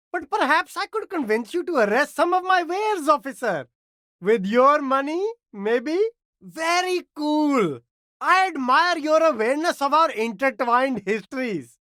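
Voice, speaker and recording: Casting: high-voiced game street hustler, slick, eager, swooping delivery, flattering slangy patter, dry close sound. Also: dry recording. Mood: sly, ingratiating. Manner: salesman's patter, flattering, laid-back slang. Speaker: street vendor character in a video game. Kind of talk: video game character dialogue.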